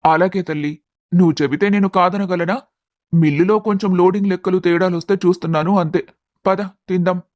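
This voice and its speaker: A man's voice: high voice, fairly even intonation, a little fast, a little mellow, no room sound, clean studio sound, lightly acted. A man playing a doting, anxious middle-aged village father in a dramatised story, fretful and affectionate. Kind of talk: dramatised moral story acting.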